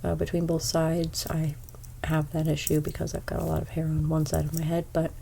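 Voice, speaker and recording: A young woman with a stuffy nose giving an ASMR haircut role play as a hairstylist. She speaks softly, often slips into whispers, and makes gentle salon small talk. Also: deep voice, monotone, fairly quick, creaky, slight background noise. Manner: soft-spoken, drifting into whispers.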